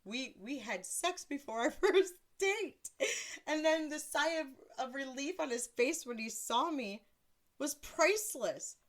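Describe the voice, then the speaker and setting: A woman's voice: lively intonation, measured pace. Blunt, crude woman podcaster. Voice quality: deeper voice. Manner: blunt, crude, self-mocking, laughs often. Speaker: podcast host. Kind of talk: casual solo podcast monologue.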